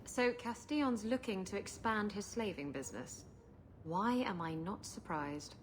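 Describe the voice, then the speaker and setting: An actress as a sly, brash pirate captain, mid-pitched. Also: female voice, measured pace, a little hiss or hum, natural voice. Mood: wry, sly. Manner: wry, flippant, theatrical. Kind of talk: video game voice acting.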